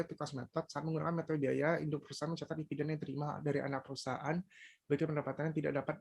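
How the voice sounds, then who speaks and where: A young Indonesian-accented man presents scripted academic material like a university student. His voice is mid-pitched and rough, with a gravelly creak. He reads haltingly and trips over English technical terms. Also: a little mellow. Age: young adult.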